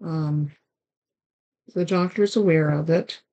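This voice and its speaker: A woman with a raspy, hoarse voice hosting a card-making tutorial, chatting along as she works through each step. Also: deep voice, takes it slowly, warm tone. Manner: chatty, talks while working with her hands. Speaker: card-making channel host.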